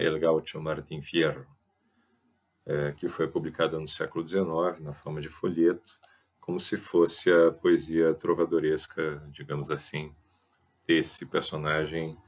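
Brazilian man in his forties, very low, flat voice, professorial talk with many pauses, headset mic. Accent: Brazilian Portuguese. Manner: thoughtful, professorial, frequent filler pauses. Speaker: host. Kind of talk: informal lecture to camera. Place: small indoor room with a chalkboard. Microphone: headset mic on a webcam video.

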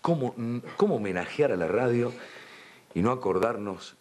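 A middle-aged Argentine man hosting a studio interview, presenting reflectively with deliberate, halting phrasing. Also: medium pitch, animated. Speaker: host. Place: dark television studio.

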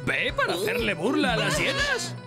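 An excitable little cartoon bird presenter, with a Castilian Spanish accent. The voice is very high and wildly animated, exclaiming theatrically. Loud music plays behind. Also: moderate pace, gravelly, heavily acted. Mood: showman-like. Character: excitable bird TV presenter, small, eager and flustered. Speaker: documentary presenter. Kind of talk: cartoon voice acting in Spanish dub.

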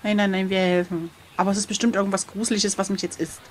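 A woman chatting casually and expressively as she plays through an adventure gamebook, quick to exclaim. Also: mid-range voice, flat delivery. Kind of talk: casual chat while playing.

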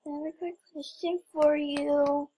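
A woman's voice: high voice, flat delivery, measured pace, warm tone, a little hiss or hum. A young woman giving casual, playful game commentary with mock drama and exclamations. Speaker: gamer recording gameplay.

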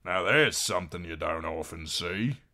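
A man voicing a big, sour soldier in an audiobook, deep-voiced, gruff and sardonic. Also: lively intonation, normal speed, pushed and bright. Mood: gruff, mocking.

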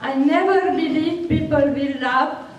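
A woman's voice: high-pitched, measured pace, far from the mic, muffled recording, slight background noise. Older Hungarian-accented woman, echoey hall. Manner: laughs often, frank and matter-of-fact. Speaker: workshop speaker. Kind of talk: answering audience questions. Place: large hall with seated audience. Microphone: handheld microphone, recorded from far off.

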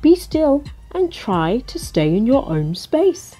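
A woman acts out a kindly hippo father from a storybook, patiently giving advice. The delivery is slow and gently instructive, with lively turns of pitch and faint music behind. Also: husky, heavily acted. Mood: gently advising. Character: patient, kindly hippo dad explaining to his child.

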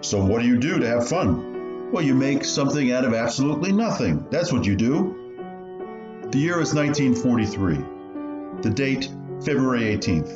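A man's voice: medium pitch, sing-song, normal speed, lightly acted. Man acting old man, radio play reminiscence, storytelling manner, very varied intonation, echoey room. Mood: wry, reminiscing. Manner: storytelling, hesitant while remembering. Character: old man reminiscing on his refugee childhood in Shanghai. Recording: muffled, roomy, music underneath.

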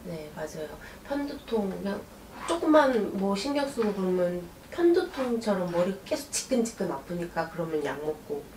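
Quiet woman client, far off-mic. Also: mid-range voice, very animated, moderate pace, echoey room, slight background noise. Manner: quiet, brief agreeing replies.

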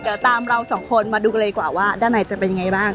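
An excitable, expressive woman chatting casually to viewers as a vlog host. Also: mid-range voice, racing. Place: busy themed restaurant. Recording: loud music and busy restaurant crowd. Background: loud music, crowd chatter.

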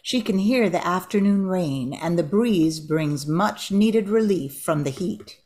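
A woman's voice: fairly low pitch, expressive, unhurried, heavy background noise. An older woman reading scripted narration for an amateur radio play.

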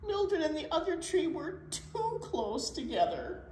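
An older woman in her sixties reads a children's story aloud with dramatic emphasis. Her delivery is unhurried and very expressive in pitch. She is in a roomy, echoey indoor space with faint noise. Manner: animated, dramatic pauses and emphasis. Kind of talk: expressive picture-book read-aloud. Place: indoor children's library room.

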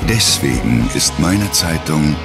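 Male commercial narrator, deep, unhurried and dark, prominent guitar music underneath. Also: expressive, vocal fry. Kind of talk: slow scripted commercial narration. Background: loud guitar music.

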